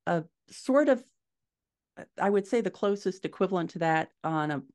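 Low-voiced middle-aged American woman. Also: lively intonation, moderate pace, darkish tone. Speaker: guest author. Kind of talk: casual podcast interview. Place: home room, indoors. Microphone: video call, desktop mic.

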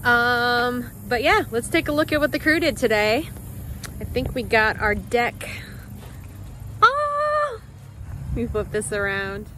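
A middle-aged woman chatting casually and excitedly as she films herself outdoors at a house site with a handheld phone. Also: on the high side, takes it slowly, pauses often, a little hiss or hum. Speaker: vlogger renovating a farmhouse. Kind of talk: casual walkthrough chat. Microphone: phone held at arm's length.

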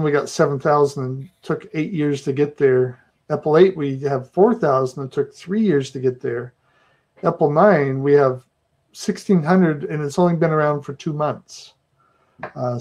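A middle-aged man presents slides over a video call in a loose, chatty way. His voice sits a little higher than most men's, and he keeps the talk casual and good-humored. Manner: relaxed, chatty, laughs at himself.

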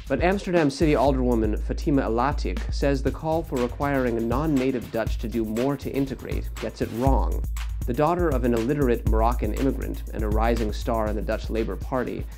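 A male newspaper correspondent reading a scripted news report in a measured, even voice. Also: mid-range voice, soft background music.

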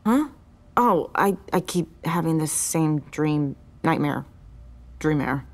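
An actress playing a dutiful young older sister in an audio drama, with a dry, casual, teasing manner. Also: female voice, low-pitched, expressive, takes it slowly, heavily acted. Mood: weary, wistful.

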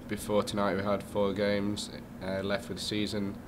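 A young man answers casually into a desk mic at a post-game press conference. His voice sits very low and stays flat, and a clear hiss runs behind it. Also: moderate pace. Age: young adult. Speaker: player. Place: small press room. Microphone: desk mic on stand.

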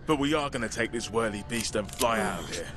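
A man's voice: fairly low pitch, expressive, a little fast, over music, lightly acted. A man playing a cocky, theatrical survivor hero in a video game, with a wry, dramatic delivery. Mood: wry swagger. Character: cocky, theatrical male zombie-apocalypse survivor turned hero.

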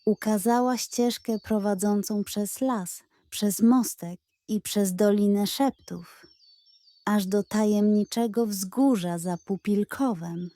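Slow, mellow woman reading a bedtime story. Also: mid-range voice, no room sound. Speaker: storyteller of children's bedtime tales. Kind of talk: scripted storybook narration.

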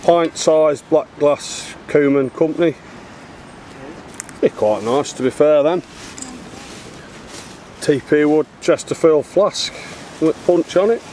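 Man, slow delivery, blunt casual chat, outdoor camera audio, faint ambient noise. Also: fairly high pitch, warm tone. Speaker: amateur bottle digger filming finds. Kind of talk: casual chat, showing finds. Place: outdoors in a wooded dig site. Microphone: handheld camera microphone. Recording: clear outdoor background noise on handheld camera.

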